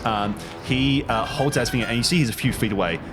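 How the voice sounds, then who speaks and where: A man narrating a tabletop game as game master, halting and dramatic as he sets the scene. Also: medium pitch. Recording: music behind the voice. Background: background music.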